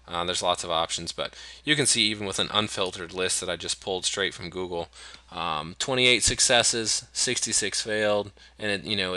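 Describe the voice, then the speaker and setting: A man from the United States walking through software settings in a relaxed, explanatory way, with frequent fillers and restarts. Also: on the low side, no room sound. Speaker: software tutorial presenter. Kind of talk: screen-recorded software walkthrough.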